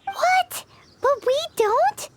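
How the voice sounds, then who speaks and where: A tiny, excitable fairy companion from a game, with a squeaky and very high voice. The voice leaps up and down with lively pitch swings, blunt and eager. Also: drawn-out words, slight background noise, heavily acted. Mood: indignant. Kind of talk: game voice acting.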